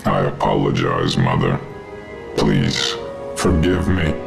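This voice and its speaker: An abyssally deep, gravelly cosmic giant. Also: male voice, sing-song, slow, full character voice. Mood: submissive. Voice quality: gravelly booming rumble. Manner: solemn, submissive, formal. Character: ancient giant cosmic being, obedient grave son. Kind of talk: video game cutscene acting. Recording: echoing voice under loud music.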